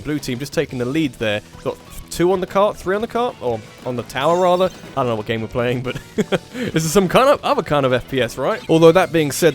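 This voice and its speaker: A man calls an online match in loose, rambling play-by-play, often stopping to correct himself. His voice sounds a little nasal and sits at an ordinary middle pitch. Soft music plays faintly underneath. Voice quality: slightly nasal. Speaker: tournament organiser and commentator. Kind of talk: casual live game commentary.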